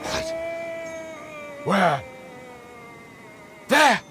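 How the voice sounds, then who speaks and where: High, strained, tormented scientist. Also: male voice, very animated, very slow, sharp tone, full character voice. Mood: enraged. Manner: theatrical, declamatory. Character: tormented, half-mad young male scientist, raging. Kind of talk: dramatised audiobook acting.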